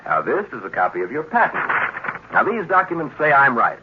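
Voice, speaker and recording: An actor playing a self-assured, talkative young time traveller who is fussily polite and insistent, in 1950s radio drama style. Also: male voice, mid-range voice, huge pitch swings, fairly quick. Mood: insistent, confident. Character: brisk, chatty, self-assured young male time traveller, meddling grandson. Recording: muffled, noisy 1950s radio recording.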